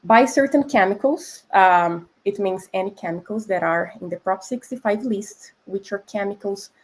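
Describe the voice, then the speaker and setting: Woman with a Brazilian accent, food scientist guest, clear explainer. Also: medium pitch, moderate pace. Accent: Brazilian Portuguese. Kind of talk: explanatory conversation.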